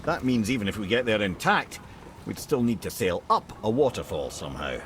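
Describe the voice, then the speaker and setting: An actor voicing a wry, worldly-wise storyteller companion in a video game, with a Scottish accent and an eloquent, sardonic manner. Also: male voice, lowish voice, big pitch swings, fairly quick, a bit bright, slight background noise. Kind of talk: dramatic video game acting.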